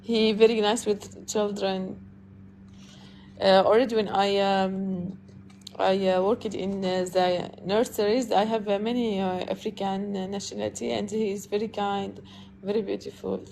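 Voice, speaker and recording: Hesitant Syrian woman with gravelly voice. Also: mid-range voice, flat delivery, a little mellow. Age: 30s. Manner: hesitant, many fillers, halting English. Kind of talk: casual chat. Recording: faint background noise.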